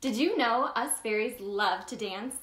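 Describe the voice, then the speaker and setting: Woman acting as bubbly storytime fairy, high bright voice, sing-song, exaggerated delivery for little kids, echoey room. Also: a little slow. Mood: playful, wondering. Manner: exaggerated, sing-song, talks directly to the child listener. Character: cheerful, bubbly Tooth Fairy telling stories to children. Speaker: children's story time host. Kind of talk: animated read-aloud for young children.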